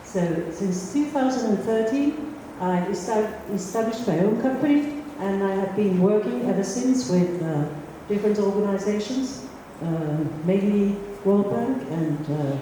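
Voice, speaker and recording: A woman's voice: mid-range voice, expressive, a little slow, hardly any pauses, warm tone, slight background noise. A middle-aged woman from Finland introducing herself on a conference panel, chatty and self-deprecating with many fillers. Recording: echoey hall, distant mic.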